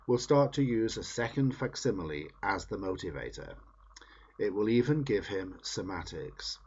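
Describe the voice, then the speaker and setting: A man reading a book aloud in measured, emphatic phrases that trail off at the ends of sentences. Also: deep voice, breathy edge, highs cut off. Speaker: reader.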